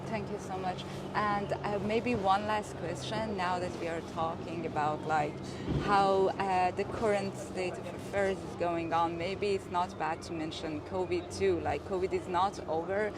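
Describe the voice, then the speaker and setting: An interviewer with a mid-pitched voice asks long, searching questions, stumbling through fillers and restarts. Clear traffic and city noise sit behind the voice outdoors. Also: steady tone, unhurried. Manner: hesitant, many fillers. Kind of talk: casual interview. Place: outdoors at an art exhibition.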